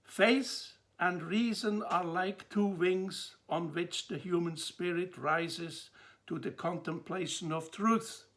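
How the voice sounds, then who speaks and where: An older man with a Swiss accent speaks very slowly and deliberately, breaking his thoughts into short pauses. His voice sits a little high for a man and carries a gravelly rasp. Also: a bit bright. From Switzerland. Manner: measured, pausing between phrases. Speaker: theologian presenting a talk. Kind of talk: slow, deliberate lecture to camera.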